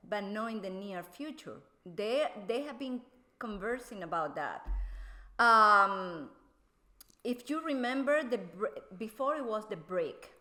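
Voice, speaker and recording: Venezuelan woman, halting and filler-heavy. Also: on the high side, sharp tone. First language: Spanish. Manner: halting, many fillers and restarts. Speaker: guest. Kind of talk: casual explanatory chat.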